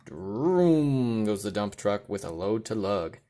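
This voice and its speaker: Low-voiced man reading a picture book aloud. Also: sing-song, dark tone. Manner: rhythmic, deliberate sound-word emphasis. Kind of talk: read-aloud children's storytelling.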